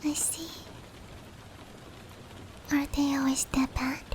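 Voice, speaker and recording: A woman plays a gentle female demon who comforts and protects in an ASMR roleplay. The voice is high and whispered, with very little rise and fall. The delivery is slow, breathy and soothing, with rain falling behind it. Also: steady tone, slight background noise. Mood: tender, reassuring.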